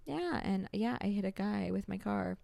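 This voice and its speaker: A woman's voice: mid-range voice, moderate pace, gravelly, no echo. Profane storytelling woman podcaster. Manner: profane, animated storyteller who acts out quotes. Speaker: podcast co-host. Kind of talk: casual chat, storytelling.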